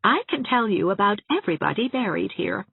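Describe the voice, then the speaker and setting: A woman's voice: mid-range voice, expressive, fairly quick, vocal fry, husky, no room sound, phone-quality sound, voice pushed up, full character voice. A woman voicing a ladylike small-town spinster dressmaker in an audiobook, chatting genteelly with relish for grim family gossip. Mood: chatty relish. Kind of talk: acted audiobook dialogue.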